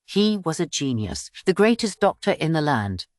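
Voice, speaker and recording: A woman's voice: deep voice, very animated, moderate pace, a little mellow, no room sound, clean studio sound. A woman reading scripted story narration for an audiobook.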